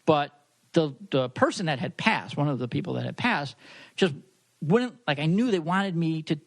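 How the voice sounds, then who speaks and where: A man co-hosting a podcast in casual chat, telling stories haltingly and often interrupting himself. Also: on the high side, lively intonation. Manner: halting, self-interrupting, informal storyteller. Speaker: podcast co-host.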